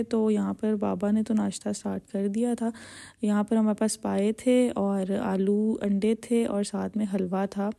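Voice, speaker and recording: A young Pakistani woman with a Urdu accent tells her trip in a relaxed, chatty voice-over. Her tone is dark and mellow, edged with a gravelly fry. She keeps her pitch flat and even, padding sentences with easy fillers. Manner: chatty, filler-heavy storytelling. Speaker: vlogger. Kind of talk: casual vlog voice-over narration.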